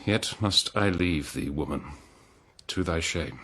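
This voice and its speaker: A man playing a betrayed, noble king in a classical verse drama, solemn and declamatory, with long pauses. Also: deep voice, expressive, fairly bright tone, breathy edge, heavily acted. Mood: grave, sorrowful. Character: betrayed noble old-world king, anguished, forgiving husband.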